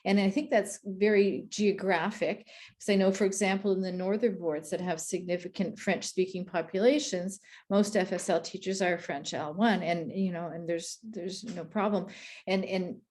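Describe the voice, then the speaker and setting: A woman from Quebec, a school administrator, speaking earnestly in a panel discussion with a conversational, halting flow. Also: on the low side, steady tone, darkish tone. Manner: earnest, conversational, many restarts.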